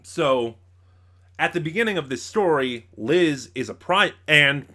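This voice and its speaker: A man hosting a casual spoken review, thinking aloud in a halting way and often backtracking to rephrase himself. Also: fairly high pitch, animated, sharp tone. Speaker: audio drama reviewer, channel host.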